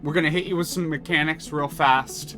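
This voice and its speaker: A man's voice: fairly high pitch, steady tone, fairly quick. A man streaming a game, chatting casually and explaining the mechanics with enthusiasm and many restarts. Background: music.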